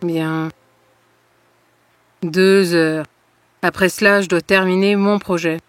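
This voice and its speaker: A woman voicing a sullen, jealous young twin sister in an animated fairy tale, with a downcast, grumbling delivery. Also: fairly low pitch, takes it slowly, no echo, soft background music. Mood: reluctant.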